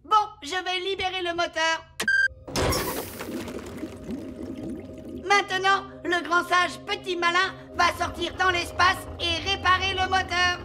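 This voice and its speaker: Very high-pitched friendly alien. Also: female voice, little pitch movement, moderate pace, few pauses. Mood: matter-of-fact. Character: friendly, polite little alien spaceship pilot. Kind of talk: animated children's cartoon dubbing. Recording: music under the voice. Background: music.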